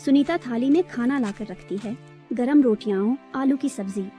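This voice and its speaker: A woman's voice: on the high side, normal speed, warm tone, faint music behind. A woman narrating a scripted moral story as a storyteller.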